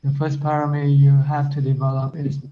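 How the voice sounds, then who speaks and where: Flat-voiced monk teaching over a video call. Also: male voice, fairly high pitch, fairly quick. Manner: plain, repetitive teaching with simple analogies. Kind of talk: informal Dhamma talk and Q&A. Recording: dull lo-fi video call audio.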